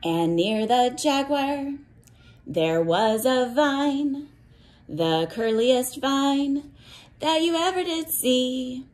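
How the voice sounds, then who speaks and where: Slow, high-voiced woman reading to children. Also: expressive, darkish tone. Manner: simple, instructive phrasing aimed at young children. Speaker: read-aloud teacher for young children. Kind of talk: picture book read-aloud with simple explanations to children.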